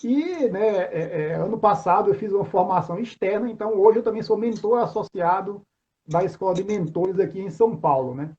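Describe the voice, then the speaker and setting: A man in his forties with a Northeastern Brazilian accent introduces himself in casual conversation. His voice is high and soft-edged, peppered with tag questions. The sound is dull, like an online call. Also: expressive, brisk. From João Pessoa, Paraíba, Brazil. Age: 40s. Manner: informal, frequent tag questions. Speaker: interview guest, mentor. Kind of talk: casual chat, self-introduction.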